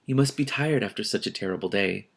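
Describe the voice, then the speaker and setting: A man voicing a creepy male ogre from a storybook, coaxing and soothing with quiet menace. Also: lowish voice, animated, fast, vocal fry. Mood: soothing, sinister.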